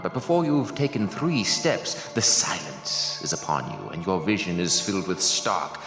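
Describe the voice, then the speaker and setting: A man's voice: very deep voice, gravelly, heavily acted. A man playing a portentous, dramatic announcer narrating the intro of a horror radio theater. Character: ominous deep-voiced announcer of a horror theater. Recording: echoey reverb with music bed.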